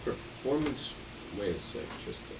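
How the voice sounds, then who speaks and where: A man's voice: medium pitch, lively intonation, unhurried. A man chatting casually, with a loose, teasing and conversational manner. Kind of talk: casual chat, joking banter. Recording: noisy, distant mic.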